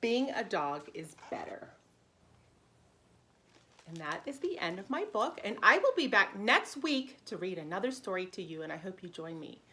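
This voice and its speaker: A woman preschool teacher reading a storybook aloud in an animated, simple, child-directed way with expressive emphasis. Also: medium pitch, moderate pace, a little hiss or hum.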